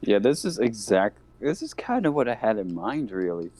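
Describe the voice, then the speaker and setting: A man's voice: on the low side, very animated, normal speed, a little mellow. A man playing in a tabletop game, making dry, joking remarks with casual swearing. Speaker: player. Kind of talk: casual chat at a gaming table.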